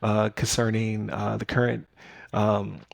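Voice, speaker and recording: A man's voice: on the low side, completely flat delivery, a little slow, vocal fry, no room sound. A man hosting a casual podcast, talking things through loosely with plenty of fillers and restarts. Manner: conversational, many fillers and restarts. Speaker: podcast host.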